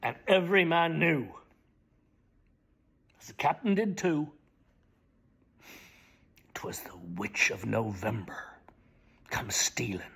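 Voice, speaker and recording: A middle-aged man giving a slow, theatrical reading of lyrics, often dropping to a hushed whisper. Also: a little high, creaky, husky. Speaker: dramatic reader. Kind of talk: dramatic spoken reading of song lyrics.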